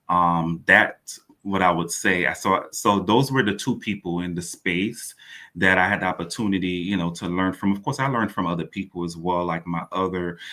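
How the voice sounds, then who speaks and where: Male interview guest, playwright. Very low, flat delivery, hesitant and searching. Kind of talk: thoughtful interview answer.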